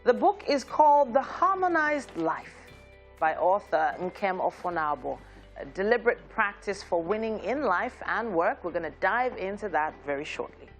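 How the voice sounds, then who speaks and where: A woman from Nigeria co-hosting a morning TV show, bubbly and quick to laugh, with light music behind her. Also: mid-range voice, very animated. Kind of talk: lively breakfast TV chat and news reading. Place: TV studio.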